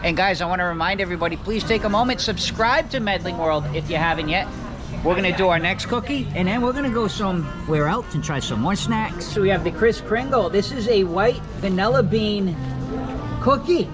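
A man's voice: sits high, fairly quick, over music. A man in his forties hosting a food review vlog, chatty and upbeat, talking to viewers into a handheld camera on an outdoor cafe patio. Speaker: vlog host. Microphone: handheld camera at arm's length.

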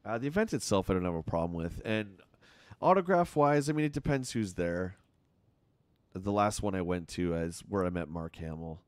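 A man's voice: deep voice, lively intonation, moderate pace, no room sound. A middle-aged man with a Canadian accent, chatting casually with his chat while playing a game on a live stream at home. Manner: rambling, chatty, answers chat.

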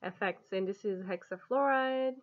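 A woman's voice: medium pitch, normal speed. A woman in her twenties chatting casually and giggly as she demonstrates an app in a vlog. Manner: giggly, amused. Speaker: app reviewer. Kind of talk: casual vlog chat to camera. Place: small home living room, indoors. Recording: dull lo-fi webcam sound.

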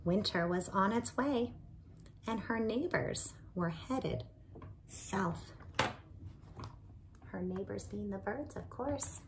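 A woman's voice: medium pitch, noisy background. A woman in her forties, a teacher reading a picture book aloud to children from a small room at home, speaking expressively to her friends. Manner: expressive, slow, talks to listeners as friends.

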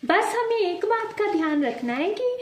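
A woman hosting a home cooking video, calmly talking viewers through each step and calling them friends. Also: extremely high pitch, unhurried, roomy sound, slight background noise. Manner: addresses viewers as friends, calm explaining. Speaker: cooking channel host. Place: home kitchen at the stove.

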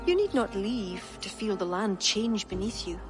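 An actress with an Irish accent playing a devout, steadfast young pagan court poet in a dramatic game cutscene. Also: female voice, mid-range voice, big pitch swings, moderate pace, lightly acted. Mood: solemn conviction. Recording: loud background music under dialogue.

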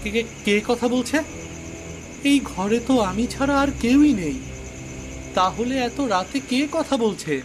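A man's voice: extremely high pitch, lively intonation, measured pace, long pauses, noisy background. A man voicing a kind-hearted, naive village fisherman in a cartoon, earnest and exclaiming in wide-eyed fashion. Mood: startled. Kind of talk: cartoon voice acting.

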